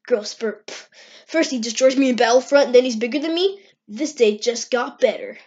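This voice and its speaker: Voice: mid-range voice, normal speed, darkish tone, voice pushed down, close to an everyday voice. A performer voicing a cocky, teasing young male hedgehog with slangy talk in an amateur cartoon comic dub. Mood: jealous. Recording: dull, lo-fi screen-recorded sound.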